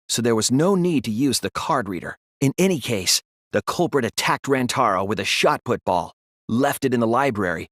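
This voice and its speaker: A man voicing an earnest, analytical teenage boy detective in an English video game dub, speaking in a deliberate, resolute way. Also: medium pitch, fairly bright tone, no room sound, clean studio sound. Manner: deliberate, earnest, explanatory delivery.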